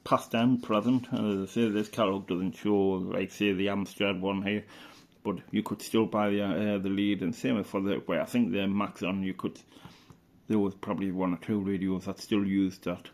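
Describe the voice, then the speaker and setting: A man's voice: low-pitched, flat delivery, moderate pace. A middle-aged man, talking informally and hesitantly as he thinks aloud through a show-and-tell. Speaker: hobbyist vlogger. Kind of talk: casual rambling show-and-tell commentary.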